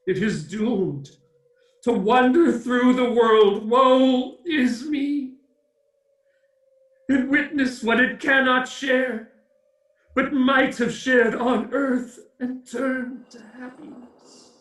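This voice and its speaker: A doom-laden male phantom for a theatrical radio drama. The voice is high and wailing, with slow, declamatory phrasing. It sounds far off, swathed in heavy echo. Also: fairly bright tone, heavily acted. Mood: grave, mournful. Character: anguished ghost of a dead businessman, mournful and doom-laden. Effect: cavernous echo on the voice. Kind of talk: theatrical radio play acting. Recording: echoing, distant-sounding voice.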